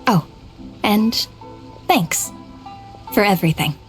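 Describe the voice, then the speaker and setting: Game role: self-doubting young working woman, gentle, hesitant, medium pitch, music underneath. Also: animated, slow. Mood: grateful. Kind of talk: video game voice acting.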